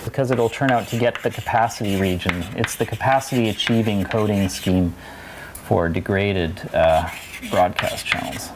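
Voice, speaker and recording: A man's voice: on the low side, normal speed, noisy background. A man giving a university lecture, talking in a digressive way with many restarts and asides. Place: lecture hall.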